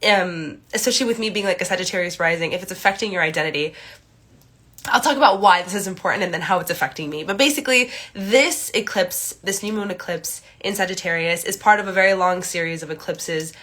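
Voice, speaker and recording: A young woman speaks through a stuffy, congested nose, her voice bright and forward. She chats casually into a phone held at arm's length in a small room, leaning on "like" and "um" as she goes. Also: fairly low pitch, quick pace. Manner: chatty, many "like" and "um" fillers, greets viewers brightly. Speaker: astrology livestream host. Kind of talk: casual livestream chat. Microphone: phone camera at arm's length.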